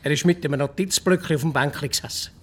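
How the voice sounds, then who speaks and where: Husky, gossiping Swiss councillor. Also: male voice, a little high, fast. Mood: wryly amused. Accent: Swiss German. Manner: casual, gossiping. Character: matter-of-fact, wryly amused village councillor man. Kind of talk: conversational radio play acting.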